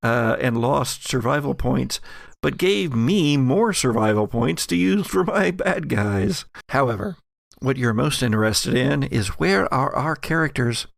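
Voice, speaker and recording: Male horror game narrator. Dramatic, unhurried storyteller with a creaky edge, closely recorded. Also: mid-range voice, expressive, dry recording, clean studio sound. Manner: theatrical, deliberate storytelling. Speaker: game master and host.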